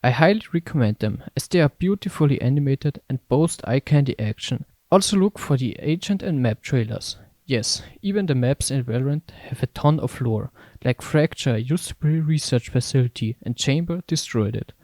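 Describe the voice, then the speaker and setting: A man's voice: medium pitch, expressive, moderate pace, few pauses, a little mellow, no echo. A man reading scripted narration as a video essayist reviewing games.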